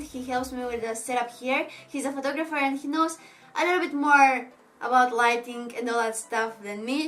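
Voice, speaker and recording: A woman's voice: high voice, fairly bright tone. A young woman from Bulgaria with a Bulgarian accent, English not her first language, chatting casually as a makeup vlogger answering viewer questions. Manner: chatty, reads viewer questions aloud, laughs often. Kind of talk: casual Q&A chat.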